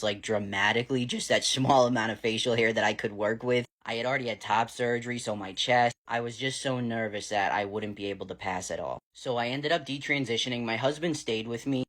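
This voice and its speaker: Frank, slightly raspy man talking to camera. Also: fairly low pitch, a bit bright. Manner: candid, frank, swears casually, many fillers. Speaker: vlogger. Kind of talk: casual personal Q&A vlog.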